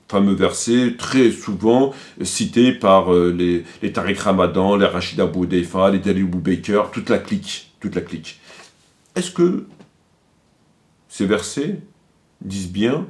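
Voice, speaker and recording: A man giving an unscripted, polemical religious lecture, emphatic and halting, repeating phrases and posing rhetorical questions. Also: sits low, a little mellow. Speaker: religious commentator.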